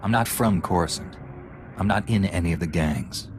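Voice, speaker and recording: An actor playing a calm, resolute space knight hero in a video game, speaking in a measured, earnest way. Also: male voice, sits low, slight background noise, close to an everyday voice. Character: calm, resolute heroic Jedi knight, adult male. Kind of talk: video game character acting.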